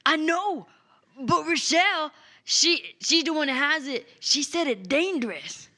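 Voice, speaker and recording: Woman playing a slow, bright, folksy adventurer. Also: high-pitched, animated, voice pushed up, full character voice. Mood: earnest, uneasy. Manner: simple, broken grammar, hesitant phrasing.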